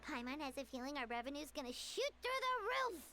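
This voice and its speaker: A woman's voice: high voice, very animated, brisk, full character voice. A woman voicing a cheerful, childlike little companion sprite in a game fan dub, bubbly and exclamatory. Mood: excited, cheerful. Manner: bubbly, exclamatory, refers to self by name. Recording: loud music behind voice.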